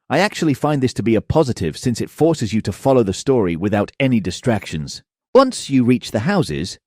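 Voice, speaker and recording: A man's voice: fairly low pitch, dark tone, no room sound, studio quality. A man narrating a scripted video game review as the host of the channel.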